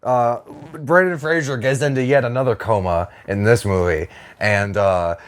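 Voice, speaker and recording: A man co-hosting a podcast, riffing in casual comedic chat with a halting delivery and some swearing. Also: mid-range voice, clean tone. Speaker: podcast co-host.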